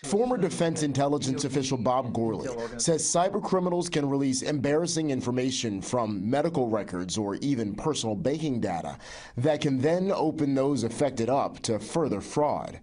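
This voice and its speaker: A male news correspondent delivering a measured, informative report. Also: medium pitch, expressive, a little mellow.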